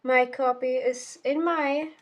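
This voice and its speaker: A woman's voice: high-pitched, fairly even intonation, slow. A teenage girl whose first language is Czech, casually reviewing a book in a rambling, self-correcting way with casual swearing. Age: teens. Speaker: book vlogger.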